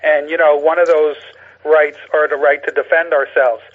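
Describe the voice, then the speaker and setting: A man with a fairly high voice comes through a thin, tinny telephone line. He talks informally as a radio guest, in an earnest, halting way, piecing his points together as he goes. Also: level tone. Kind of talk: informal political talk. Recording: tinny narrow phone line.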